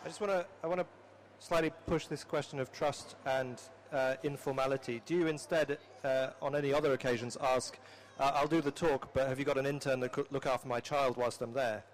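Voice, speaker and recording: A man moderating a panel discussion in a hesitant, wordy and measured way. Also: mid-range voice, fairly even intonation. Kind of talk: semi-formal panel discussion.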